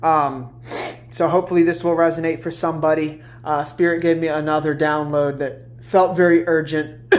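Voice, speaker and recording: A woman's voice: sits low, fairly even intonation, moderate pace. A congested woman, a little under the weather, giving a casual collective tarot reading, chatty with many fillers and restarts. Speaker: tarot reader.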